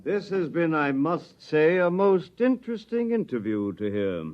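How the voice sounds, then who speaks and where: A man playing a cold, commanding military colonel in a 1950s radio drama, measured and precise, on a dull old recording. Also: on the high side, very animated. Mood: cold, ruthless.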